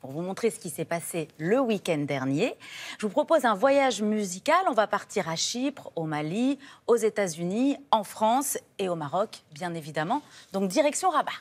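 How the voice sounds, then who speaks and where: A woman's voice: medium pitch, very animated, normal speed. A woman presenting a culture report on a morning TV show, speaking with enthusiasm and some hesitations. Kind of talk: TV culture segment, live studio chat. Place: TV studio.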